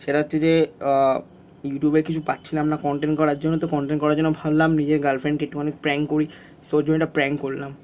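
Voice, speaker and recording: A man's voice: on the high side, level tone, very fast, a little mellow, slight background noise. A young man, talking casually and insistently, often repeating himself, as in a vlog or phone call. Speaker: prank video creator.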